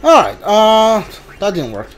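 Male streamer, high voice, casual and hesitant delivery, circles back over his words while chatting to viewers. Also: big pitch swings. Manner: hesitant, halting, repeats himself. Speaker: game streamer. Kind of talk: casual live gameplay stream chat.

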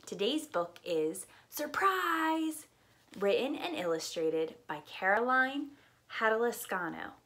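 Slow, animated woman reading a storybook. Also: a little high. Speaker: preschool teacher reading picture books. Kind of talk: expressive storytime read-aloud. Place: small indoor room with bookshelf.

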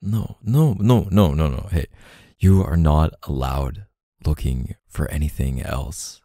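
A man playing a gentle, apologetic, doting boyfriend in an intimate ASMR roleplay, speaking tenderly and haltingly close to the mic. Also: very deep voice, no room sound, heavily acted. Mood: tender, earnest. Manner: tender, halting, sighing between phrases. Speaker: boyfriend roleplay audio performer.

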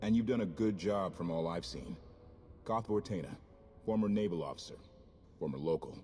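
An actor playing a confident, easygoing ex-officer rogue in a video game, casual and folksy. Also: male voice, low-pitched, normal speed, warm tone, close to an everyday voice. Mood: persuasive. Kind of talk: video game cutscene acting.

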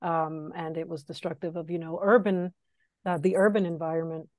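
A woman's voice: lowish voice, little pitch movement, normal speed, a little mellow, dry recording. A woman with a Miami Cuban accent talks through an earbud mic on a video call from home. She is chatty, laughs easily and restarts her phrases as she thinks. The sound is close and dry. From Miami, Cuban-American. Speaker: guest historian. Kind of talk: academic seminar talk. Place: home room. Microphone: wired earbud mic on video call.

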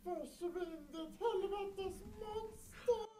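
A woman's voice: very high-pitched, mellow. A woman voicing an exasperated, foul-mouthed cartoon Greek woman in over-the-top fan-dub style. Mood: hysterical, desperate.

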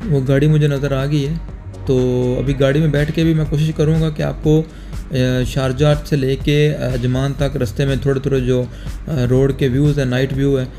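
A young man from Pakistan narrating a casual travel vlog at night outside an airport, talking calmly to viewers through a phone held at arm's length. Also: medium pitch, moderate pace, faint music behind. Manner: calm, explanatory, talks to viewers directly. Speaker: travel vlogger. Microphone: phone camera held at arm's length.

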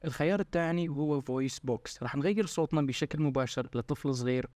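A man, presenting a scripted promotional software tutorial. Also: on the high side, fast talker, no room sound, clean studio sound. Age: 20s. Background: background music.

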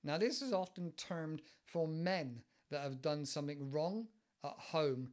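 Brief: English man in his forties, casual podcast teacher, rambling delivery, a touch high-pitched, home mic. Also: unhurried. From England. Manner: relaxed, rambling, many fillers and restarts. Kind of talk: casual explanatory monologue. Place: living room at home. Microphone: headset with desk mic, webcam.

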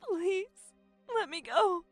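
A woman's voice: extremely high pitch, lively intonation, takes it slowly, no echo, faint music behind, heavily acted. A woman playing a frightened but defiant young captive of a killer in an audio drama, emotional and pleading.

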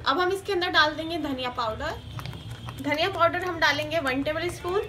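A woman hosting a cooking channel, talking through a recipe one step at a time in a steady, teaching manner and repeating each step. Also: sits high, normal speed, over music. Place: home kitchen. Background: sizzling and stirring in a pan.

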